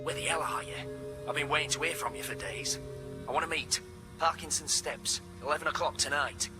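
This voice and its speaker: A man's voice: fairly high pitch, brisk, loud music behind the voice, natural voice. A man playing an impatient, aggressive, bullying drug dealer in a radio drama. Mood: demanding. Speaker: actor in a radio crime drama.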